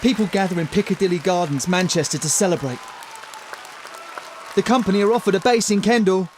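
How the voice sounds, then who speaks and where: Actor as 1940s newsboy, high male voice, declamatory headlines, radio play, street crowd and vehicle noise behind. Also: a little fast, natural voice. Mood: proclaiming. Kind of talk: audio drama announcing.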